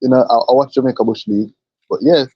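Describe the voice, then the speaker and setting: A man's voice: mid-range voice, fairly even intonation, quick pace, slightly rough. A man co-hosting a podcast in casual chat, laid-back and hesitant with many fillers, on a dull lo-fi recording. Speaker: podcast co-host. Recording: dull lo-fi sound.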